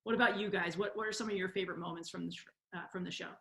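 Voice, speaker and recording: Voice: medium pitch, steady tone, racing, gravelly, pushed and bright. A moderator asking casual interview questions over a video call. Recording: roomy, echoey video call audio.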